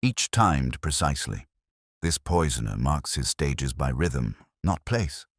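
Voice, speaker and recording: A man performs a precise, commanding detective of an audio mystery. The voice is very low and lively in its movement, with clipped, deliberate phrasing. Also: no room sound, clean studio sound, heavily acted. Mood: grave, intent.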